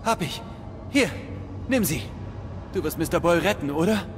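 An actor playing a nervous, grateful office boss held hostage, anxious and eager. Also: male voice, high-pitched, animated, normal speed. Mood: relieved. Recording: reverberant, music behind.

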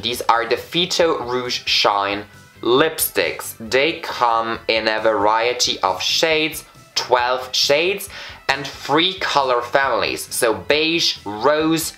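A man's voice: mid-range voice, sharp tone. Flamboyant young Austrian man gushing. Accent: Austrian German. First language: German. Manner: camp, flamboyant, gushing exclamations. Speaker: beauty vlogger. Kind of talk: makeup review vlog. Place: bright indoor room in front of a patterned backdrop.

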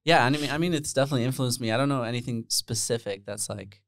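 A man's voice: mid-range voice, fast, vocal fry, fairly bright tone, no echo, studio quality. A man in his twenties, born in the Netherlands, chatting casually as a guest musician, rambling with plenty of fillers. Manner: rambling, self-deprecating, many fillers. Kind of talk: casual interview chat. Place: small room with bare walls. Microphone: handheld dynamic mic, headphones on.

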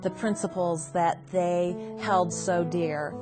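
Woman, lowish voice, earnest interview, guitar music clear underneath. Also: steady tone, takes it slowly.

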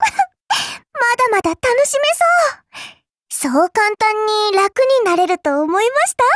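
A woman's voice: very high voice, expressive, unhurried, fairly bright tone, dry recording, clean studio sound, full character voice. A woman voicing a cute, mischievous young girl in an anime mobile game, perky and teasing, with polite, playfully smug delivery. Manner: perky, teasing, polite speech with cutesy exclamations.

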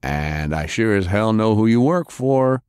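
Man reading tough-guy interrogator, rough drawl, slow menace, lively delivery, dry studio sound. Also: fairly low pitch, no room sound, pitched above the performer's own voice. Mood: menacing. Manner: slow, threatening, sardonic.

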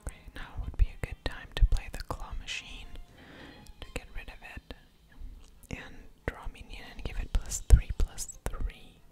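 A woman softly whispering ASMR commentary over a game, unhurried and with audible mouth sounds. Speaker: host of an ASMR gaming channel.

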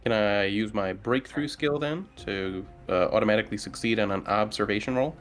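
A man's voice: lowish voice, normal speed, soft background music. A man playing a tabletop roleplaying game, chatting casually and jokingly.

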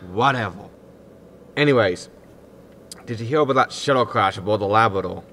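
A man's voice: fairly low pitch, moderate pace, noisy background, close to an everyday voice. A man playing a defensive, exasperated disgraced spaceship captain, indignant and grumbling, in a sci-fi comedy audio drama. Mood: irritated, defensive.

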